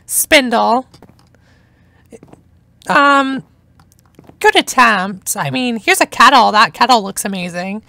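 Bright, high-voiced young woman gaming. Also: lively intonation, takes it slowly, creaky, pauses often, no room sound. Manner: chatty, enthusiastic, rambling. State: hoarse. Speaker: gameplay narrator. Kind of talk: casual let's-play commentary.

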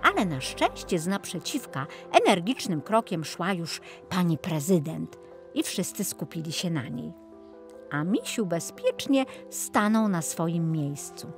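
Animated woman storyteller, low and unhurried. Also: soft background music. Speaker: audiobook narrator. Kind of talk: expressive scripted children's storytelling.

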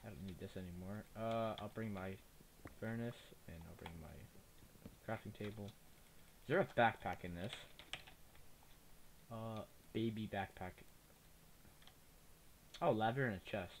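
Young man, slight lisp, lowish voice, rambling gamer chatter, cheap mic with faint hiss. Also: measured pace, long pauses. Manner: rambling, chatty, easily distracted. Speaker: gaming YouTuber. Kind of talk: casual let's play commentary. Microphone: cheap desktop mic. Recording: low-quality mic with faint noise.

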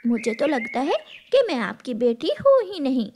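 Lady doing a sulky lazy little girl bird for a kids cartoon, really high voice, whiny and defiant. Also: sing-song, moderate pace, background noise. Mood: hurt, sulky. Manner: complaining, defiant.

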